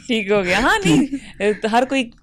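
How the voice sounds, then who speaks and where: Woman host murmuring along. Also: sits high. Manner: steady murmured acknowledgements, laughs easily. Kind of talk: interview chat.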